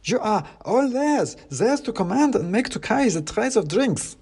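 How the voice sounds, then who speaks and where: A man's voice: sits high, big pitch swings, moderate pace, creaky, darkish tone, breathy edge, pitched above the performer's own voice, exaggerated voice. A man voicing a gloomy male wooden nutcracker soldier who speaks in stiff, clipped phrases with odd word order.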